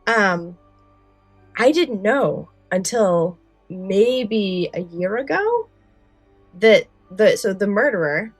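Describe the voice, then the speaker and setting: A woman's voice: medium pitch, big pitch swings, takes it slowly, husky, dry recording, soft background music, low-quality recording. A woman co-hosting a podcast in casual, enthusiastic chat, gushing and informal in her wording. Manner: informal, chatty, uses "like" often. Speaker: podcast co-host. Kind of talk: casual chat about films and scary stories.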